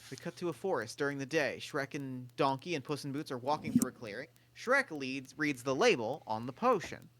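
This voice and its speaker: A man's voice: mid-range voice, animated, brisk, a bit bright. A man reading stage directions for a table read, plainly and with the odd sound effect.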